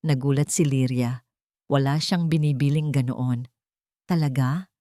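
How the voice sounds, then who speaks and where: A woman narrates a dramatic audiobook. Her voice is slightly low and very mellow, with soft edges. The recording is dry, with no room sound. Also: expressive, moderate pace, dry recording. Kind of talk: scripted dramatic story narration.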